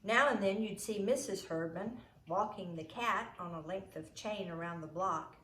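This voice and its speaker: A woman reading a children's book aloud as a storyteller, in an expressive way. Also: mid-range voice, moderate pace. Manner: expressive, acts out the dialogue. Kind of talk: storybook read-aloud narration. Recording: roomy echo, noisy home recording.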